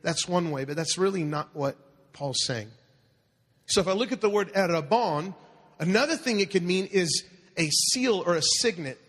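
A man preaching a sermon in an animated, call-and-response manner, asking the congregation to repeat phrases after him. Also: high voice, normal speed. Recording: long hall reverb.

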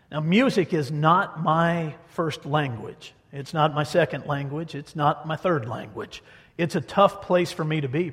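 Preacher with a raised voice in a reverberant hall. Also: male voice, fairly high pitch. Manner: storytelling, varies pace for emphasis. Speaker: pastor. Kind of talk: sermon.

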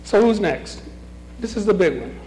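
Middle-aged man, high bouncy voice, runs a Bible class with questions and chuckles, long room reverb. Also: lively intonation, moderate pace, mellow, a little hiss or hum. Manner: questioning, chuckling, restarts often. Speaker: Bible study leader. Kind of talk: leading a group Bible discussion.

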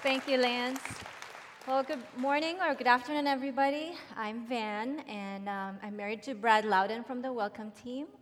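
A woman's voice: fairly high pitch, normal speed, a faint crowd, a little hiss or hum. A middle-aged woman from the Philippines, giving a prepared personal testimony in a large church hall. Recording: long hall reverb.

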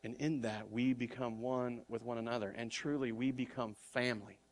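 A man's voice: medium pitch, fairly even intonation, normal speed. A middle-aged male pastor preaching a sermon in an earnest, conversational way, often restarting his words. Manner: earnest, conversational preaching with repeated word starts.

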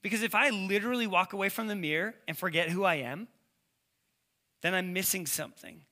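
Bright-voiced man preaching casually, slight lisp. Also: high voice, brisk. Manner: informal, slangy storytelling with quoted asides. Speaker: preacher, youth ministry leader. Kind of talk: casual conversational sermon.

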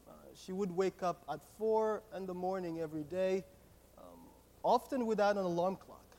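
A nervous man with a Haitian accent, a native Haitian Creole speaker, giving a personal testimony sermon in an earnest, halting way, repeating words as he goes. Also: fairly high pitch, darkish tone. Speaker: guest preacher at a church. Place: church sanctuary, speaking to a congregation. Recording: echoey hall with long reverb.

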